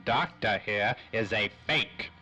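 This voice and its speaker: An actor playing a sneering, taunting old crime-boss tycoon in an old-time radio play. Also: male voice, lowish voice, over loud music. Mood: gloating. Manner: slow, taunting. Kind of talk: 1930s old-time radio drama acting.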